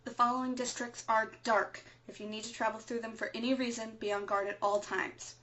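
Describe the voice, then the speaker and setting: A muffled, lo-fi radio drama recording of an actress playing a female resistance broadcaster. Her pitch is a little high, and she briefs fighters in a serious, measured announcer style. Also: flat delivery, a bit bright, close to an everyday voice. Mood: grave, instructive. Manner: measured, instructive announcer delivery. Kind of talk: scripted fictional underground news broadcast. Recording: dull, lo-fi broadcast sound.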